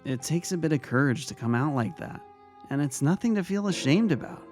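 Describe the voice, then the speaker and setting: A man playing a kind high school vice-principal in an audio story, speaking with earnest, measured reassurance. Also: animated, a little mellow, soft background music, natural voice. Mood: gentle, reassuring. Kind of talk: dramatic fan fiction audio reading.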